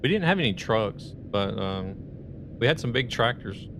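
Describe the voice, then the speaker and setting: A man's voice: on the low side, animated, moderate pace, noisy background. A man live-streaming a truck game, chatting in a rambling, conversational way and greeting viewers. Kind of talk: casual live-stream chat while playing a truck game.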